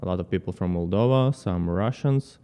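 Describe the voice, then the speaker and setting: Interview guest: Ukrainian-accented man with a lowish, smooth, mellow voice and loose, halting English, recorded with a long reverb tail. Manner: halting non-native English, uses "like" as filler. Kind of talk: casual interview.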